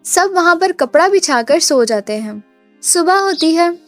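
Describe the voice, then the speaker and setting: Female storyteller, high voice, scripted dramatic narration, soft music underneath. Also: expressive, a little slow.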